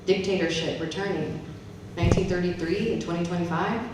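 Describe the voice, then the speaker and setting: A very echoey, noisy recording of a woman at a live poetry reading. Her voice is on the low side and comes from some distance off the mic, in the manner of a spoken-word poet. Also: fairly even intonation, fairly quick. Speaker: poet and English professor reading her own poems. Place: reverberant event room with an audience.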